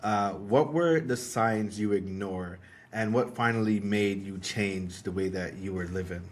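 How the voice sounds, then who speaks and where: A man hosting an interview podcast, asking his guest questions in a fairly low voice. Between questions he keeps his replies short and affirming. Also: measured pace. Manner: brief affirming responses. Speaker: podcast host.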